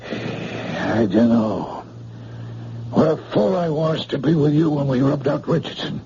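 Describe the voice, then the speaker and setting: An actor playing a menacing gangster boss in a 1950s radio drama, with tough slangy gangster talk. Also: male voice, a little high, animated, unhurried, gravelly, mellow, slight background noise. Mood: threatening. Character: tough male mob boss, menacing and demanding. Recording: dull lo-fi old radio broadcast.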